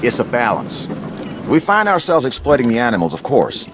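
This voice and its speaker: A man's voice: mid-range voice, huge pitch swings, normal speed, noisy background. An actor playing a thoughtful conservationist advisor in a video game, earnest and explanatory in his way of talking. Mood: earnest, measured. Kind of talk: video game dialogue acting.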